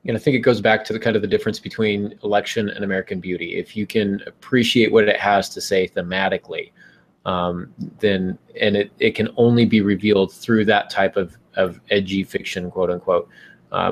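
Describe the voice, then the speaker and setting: A man in a casual podcast discussion, giving reflective writing advice in a halting, thoughtful, explanatory way. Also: on the low side, steady tone.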